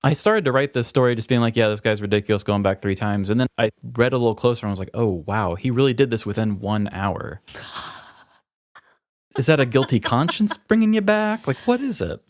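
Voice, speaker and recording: A man's voice: medium pitch, lively intonation, a little fast, no room sound, studio quality. An American man co-hosting a podcast, speaking in a storytelling and conversational style. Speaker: podcast co-host. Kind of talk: scripted true crime narration and casual banter.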